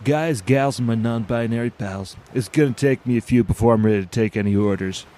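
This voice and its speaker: A man's voice: mid-range voice, fairly quick, dark tone, natural voice. A man playing a laid-back stoner food truck owner who rambles grandly and philosophically in an audio drama. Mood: easygoing. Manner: rambling, grandiose, mock-profound. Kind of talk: audio drama character acting.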